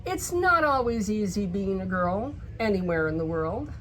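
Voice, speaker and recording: A woman reads a story aloud for young children at a medium pitch. She pauses on key words and addresses the little listeners directly. There is faint noise behind her voice. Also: animated, fairly bright tone. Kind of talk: read-aloud picture book narration for children. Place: bookstore in a shopping center.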